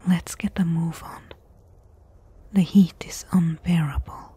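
A woman playing a bold, companionable desert treasure hunter in a soft-spoken ASMR roleplay, hushed and coaxing in a breathy whisper. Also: lowish voice, steady tone, takes it slowly, heavily acted. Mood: relieved.

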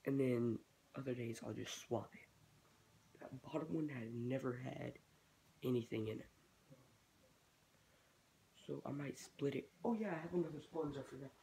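A teenage boy chats loosely about his hobby in a small bedroom, thinking aloud as he goes. His voice is stuffy from a cold and has a gravelly fry at the low end. The webcam recording carries a clear hiss of background noise. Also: moderate pace, pauses often, dark tone. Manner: rambling, thinking aloud while working. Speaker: reptile-keeping vlogger. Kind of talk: casual hobby vlog. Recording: noisy home webcam recording.

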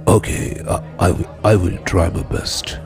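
A man playing an apologetic prison inmate in an audio drama, halting and hesitant. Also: deep voice, fairly even intonation, over music.

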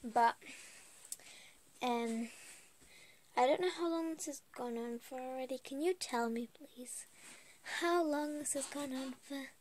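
A woman's voice: sits high, darkish tone, slight background noise. A teenage girl vlogging from her bedroom, losing her voice, chatting in a rambling, hesitant way. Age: teens. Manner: chatty, rambling, slow and hesitant with many ums. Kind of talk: casual vlog chat. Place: small bedroom at home. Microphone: webcam or phone at arm's length.

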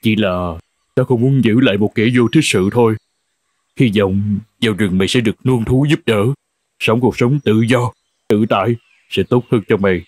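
An actor playing a farmer who owns a horse in an animated fairy tale, theatrical, exclamatory and prone to stammering. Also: male voice, on the low side, moderate pace, no room sound, natural voice. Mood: musing, regretful. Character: old-fashioned male farmer, horse's master, astonished then remorseful.

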